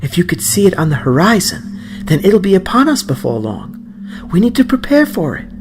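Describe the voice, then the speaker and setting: Storybook grandmother, male reader: older woman, high-pitched, lively swings, amused, gives orders with relish. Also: a little fast, background noise. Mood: urgent, instructing. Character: spirited older grandmother, eager, commanding, amused.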